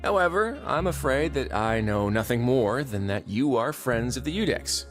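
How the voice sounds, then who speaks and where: An actor playing a calm, courteous young duke who runs a prison, speaking with polished, gently wry politeness in video game dialogue. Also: male voice, mid-range voice, normal speed, soft background music, close to an everyday voice.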